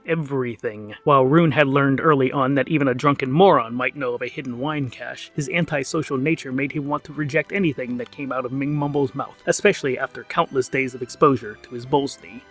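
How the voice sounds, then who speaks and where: A man's voice: medium pitch, brisk, hardly any pauses, fairly bright tone, soft background music. A man narrating a scripted comic fantasy tale in a wry, theatrical manner. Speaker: narrator and series creator.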